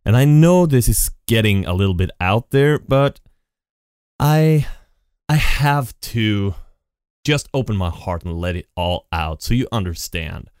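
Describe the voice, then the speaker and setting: Slow, low-voiced man rambling on his podcast. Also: lively intonation, darkish tone, clean tone, no room sound, clean studio sound. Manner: rambling, many restarts and repeated "and". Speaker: podcast host. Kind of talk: unscripted solo podcast monologue. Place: home setup at a computer. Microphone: studio podcast mic with effects.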